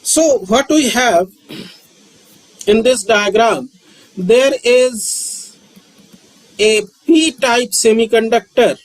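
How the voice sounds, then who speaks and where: A man, lecturing on physics and repeating key terms with frequent pauses. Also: extremely high pitch, low-quality recording. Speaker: physics teacher. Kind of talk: slow, repetitive classroom lecture.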